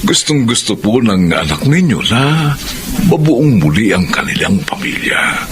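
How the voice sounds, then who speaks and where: A courteous stepfather in a radio drama. His voice is fairly low and respectful, supportive in manner, with clear noise in the background. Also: very animated, a little slow. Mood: earnest, supportive. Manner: courteous, deferential. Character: polite, supportive adult stepfather.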